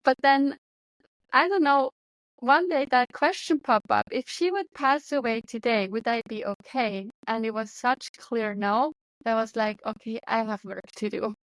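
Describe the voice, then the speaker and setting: A woman's voice: fairly high pitch, unhurried, no echo, rough recording. Swiss-accented woman, earnest and searching. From Switzerland. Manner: earnest, searching, with restarts and laughs. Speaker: guest, medium and coach. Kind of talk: casual interview conversation.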